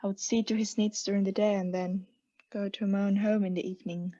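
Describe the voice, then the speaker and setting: A woman playing a mad condemned murderess in a radio play, intense and halting, often sinking into breathy hushed tones as she insists she is sane. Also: medium pitch, flat delivery, dull audio, close to an everyday voice. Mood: hushed, conspiratorial. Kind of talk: theatrical radio play monologue.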